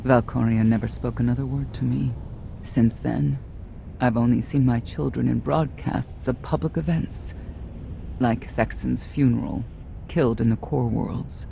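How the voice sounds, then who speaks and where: A woman playing a weary, guarded middle-aged mother turned stern knight in a video game, speaking in a measured, solemn way with a creaky voice. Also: very deep voice, steady tone, dark tone, a little hiss or hum, full character voice, low-quality recording. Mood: resigned regret. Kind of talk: dramatic video game dialogue.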